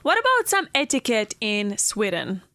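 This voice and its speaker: A woman's voice: medium pitch, wildly swooping pitch, moderate pace, sharp tone, no room sound, clean studio sound. A woman hosting a podcast, asking questions casually and often murmuring agreement. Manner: frequent short backchannel agreement. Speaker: podcast host. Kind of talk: interviewer, casual chat.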